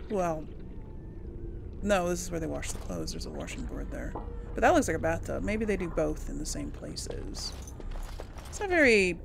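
A woman's voice: medium pitch, gravelly, background noise. A woman giving casual gameplay commentary, thinking aloud in trailing fragments over game music. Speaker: gameplay host.

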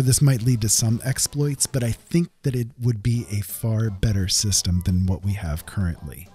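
A man with a fairly low voice narrates a gaming video. He explains things step by step in a semi-scripted voice-over style. Faint music plays behind him. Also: dark tone. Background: background music.

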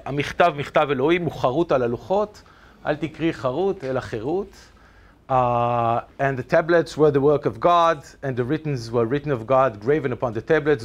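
A middle-aged man with an Israeli accent, a native Hebrew speaker, giving a chatty, hesitant presentation at a teachers' workshop in a lecture room. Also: mid-range voice. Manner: chatty, hesitant, many ums and restarts. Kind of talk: conference presentation. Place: lecture room with projector screen.

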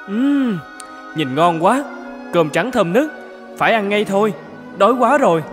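An actor playing a good-hearted young man in an animated fairy tale, speaking earnestly and expressively over music. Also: high-pitched, unhurried. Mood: hungry. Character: good-hearted poor young man who becomes king. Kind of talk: cartoon fairy-tale voice acting.

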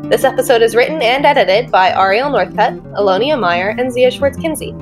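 A woman's voice: on the high side, expressive, brisk, over music. A woman reading scripted podcast credits as a narrator.

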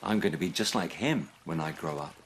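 A man voices a boastful young elephant from a storybook. It gushes with childlike pride, the pitch swinging widely. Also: expressive, a little fast, fairly bright tone, a little hiss or hum. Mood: gushing pride. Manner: gushing, childlike.

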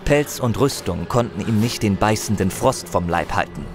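A man with a low voice narrates a fantasy tale in a dramatic, scripted style. Music plays clearly behind his storytelling. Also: animated, normal speed.

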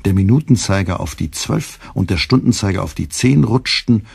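A man narrating a satirical literary radio play as a wry storyteller, in a gravelly, husky voice. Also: fairly low pitch, moderate pace, a little mellow, no echo, heavily acted. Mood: measured, wry.

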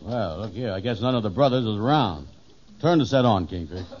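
An actor playing a slow-witted, gullible, wisecracking middle-aged pal in an old radio sitcom, with a folksy drawl. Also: male voice, lowish voice, lively intonation, close to an everyday voice. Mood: puzzled. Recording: muffled, faintly noisy vintage radio recording.

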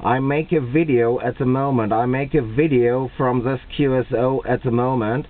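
A man making a radio contact as an operator, speaking deliberately and repeating phrases for emphasis. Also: medium pitch, fairly even intonation. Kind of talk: radio contact with call signs and signal reports. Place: at a radio set, filming it. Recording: narrow, tinny radio-room video audio.

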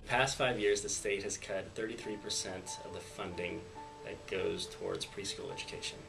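Gravelly American man in his thirties. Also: sits low, flat delivery, moderate pace, low-quality recording. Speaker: elementary school principal. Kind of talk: interview testimonial. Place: office with whiteboard, indoors. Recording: clear music behind the voice.

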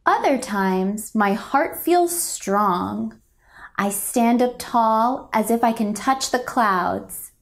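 A young woman reading a children's picture book aloud in a gentle, expressive, deliberate storytelling manner. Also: a little high. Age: young adult. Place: indoors, a home room.

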